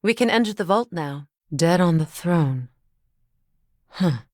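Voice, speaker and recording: A woman voicing a composed, wry, middle-aged warrior goddess, a measured and knowing companion in a video game. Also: lowish voice, expressive, darkish tone, no echo, clean studio sound. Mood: knowing, gentle.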